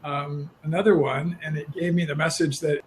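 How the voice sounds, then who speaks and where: A man co-hosts a casual webinar, chiming in with short, joking asides. His voice sits a little higher than most men's, with a faint hiss behind it. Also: little pitch movement, moderate pace. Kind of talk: casual chat.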